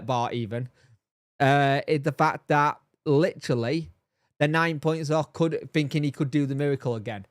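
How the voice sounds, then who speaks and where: A man with a somewhat high voice that sounds bunged up, nasal and throaty, as if he has a cold. He rambles and repeats himself, chatting casually to his viewers. His voice is recorded close and dry, with no room sound. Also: dry recording. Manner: rambling, repeats himself, answers chat by name. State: congested, bunged up. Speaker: fan channel host reading live chat. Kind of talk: casual live-stream chat.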